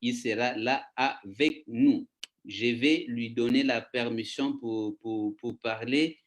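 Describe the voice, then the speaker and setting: A man hosting an online session, announcing his guest slowly and emphatically over a video call. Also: mid-range voice. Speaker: host.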